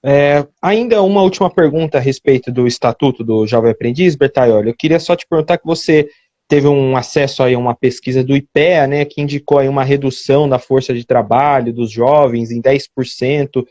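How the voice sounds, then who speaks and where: A man's voice: medium pitch, brisk, mellow, no echo, lo-fi sound. A man with a Brazilian Portuguese accent interviewing a politician, asking long questions with hesitations. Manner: hesitant, many fillers and restarts.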